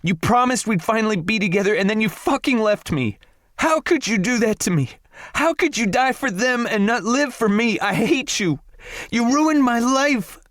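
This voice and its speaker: A man voicing a young heartbroken woman screaming accusations until she turns hoarse. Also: sits high, lively intonation, normal speed, fairly bright tone, no room sound, studio quality, voice pushed up. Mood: furious, anguished. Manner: shrieking, accusing.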